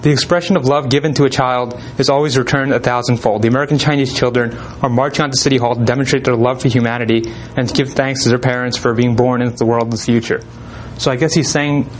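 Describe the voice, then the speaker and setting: A man giving an informal talk, reading letters aloud in a relaxed way with frequent pauses and ums. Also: medium pitch, slight background noise, poor audio. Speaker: presenter.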